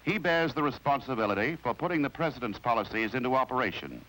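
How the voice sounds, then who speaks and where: Lively 1950s American male documentary narrator. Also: medium pitch, rough recording. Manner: formal, measured, explanatory delivery. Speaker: narrator of a training film. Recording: vintage 1950s film soundtrack with music.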